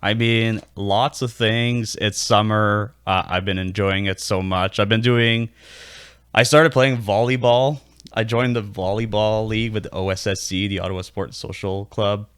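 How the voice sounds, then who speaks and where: Chill quebec guy, first language french, lowish voice, laughs a lot, dry close mic. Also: fairly even intonation, a little slow, a bit bright, clean tone, no room sound. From Quebec, Canada. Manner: easygoing, laughs often, lots of fillers. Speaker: podcast guest, bassist. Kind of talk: casual interview chat.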